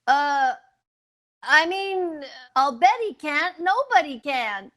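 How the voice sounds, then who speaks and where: An actress playing a playful, meddling, affectionate young housewife in a re-created 1940s radio comedy, with cute, emphatic delivery. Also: female voice, high voice, animated, slow, sharp tone, heavily acted. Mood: coaxing, affectionate.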